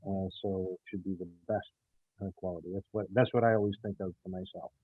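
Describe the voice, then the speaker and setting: A man speaking casually as an interview guest, in a hesitant, halting and earnest way. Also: sits low, steady tone, slightly rough, dry recording, narrow, tinny audio. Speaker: audio theater show creator, interview guest.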